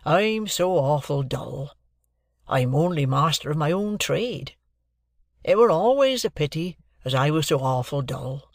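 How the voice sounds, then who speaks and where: A man voicing a kindly, slow-spoken village blacksmith with plain country speech, in a storybook reading. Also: on the high side, lively intonation, gravelly, no room sound, clean studio sound. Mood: gentle, homely.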